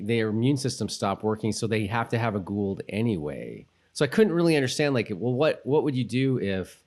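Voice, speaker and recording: A man co-hosting a casual rewatch podcast, thinking out loud in loose, wandering sentences. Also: mid-range voice. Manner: rambling, thinks aloud in loose tangents. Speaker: podcast co-host.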